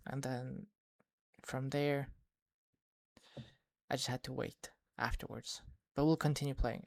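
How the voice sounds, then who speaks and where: A man's voice: mid-range voice, creaky, no room sound. A man from Sweden, a fishing vlogger recapping events in a casual, rambling voice-over full of restarts. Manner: rambling, many restarts and fillers.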